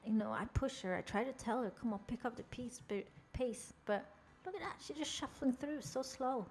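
A woman's voice: fairly high pitch, normal speed, darkish tone, slight background noise. A young woman from Norway with a Norwegian accent, chatting playfully with viewers while narrating her game character. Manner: chatty, jokey, chatting with chat while playing. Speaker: game streamer.

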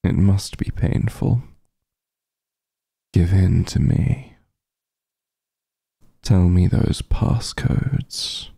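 Vampire mafia heir for ASMR roleplay, male actor: low, very dark husky voice, close and dry, teasing, possessive. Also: no room sound, exaggerated voice. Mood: seductive, coaxing. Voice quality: husky, breathy, gravelly. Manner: slow, smooth-tempered, teasing drawl with soft murmurs. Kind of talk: intimate ASMR roleplay acting.

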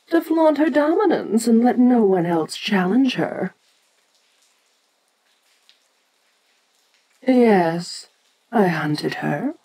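Woman doing a giant kaiju lady for audio roleplay, bossy and haughty but curious, really slow deliberate talking. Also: medium pitch, a little mellow, husky, rough recording. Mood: proud, grave. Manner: haughty, deliberate, speaking down to a lesser creature. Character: ancient giant female kaiju monster, imperious, domineering, curious.

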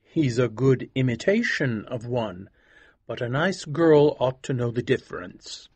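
A proper young gentleman who speaks with restraint and politeness, as a narrator puts him on, his voice a touch husky but moving with lively pitch. The sound is dry and close. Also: measured pace, no echo. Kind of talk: audiobook character voice.

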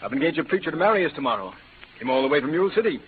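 An actor playing a stern, proud frontier rancher in an old radio western, speaking formally and earnestly. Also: male voice, on the high side, very animated, racing. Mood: softened. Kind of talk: 1940s-50s radio western acting. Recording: muffled, noisy vintage radio recording.

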